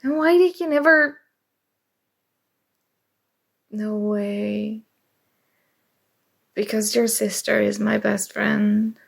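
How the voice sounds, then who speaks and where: A woman's voice: mid-range voice, steady tone, slow, vocal fry, full character voice. A woman acting as a nerdy, flustered college student in an intimate ASMR roleplay, speaking hesitantly and emotionally with stammers. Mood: stunned disbelief. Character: nerdy, flustered young female college student confessing a crush.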